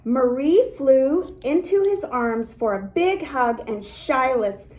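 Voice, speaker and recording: Slow, gentle-toned woman reading to children. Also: high-pitched. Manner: expressive, childlike asides to listeners. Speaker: storytime reader for a dance academy. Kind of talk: read-aloud storytelling for young children.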